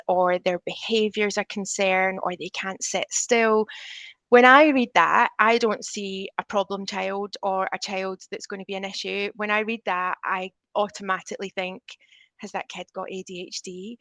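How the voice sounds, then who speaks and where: A woman's voice: medium pitch, a bit bright, no room sound. A middle-aged woman with a Scottish accent, a teacher speaking earnestly and emphatically in a discussion over a remote link. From Scotland. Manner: earnest, emphatic about children's needs. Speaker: teacher at a specialist school. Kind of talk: conversational discussion.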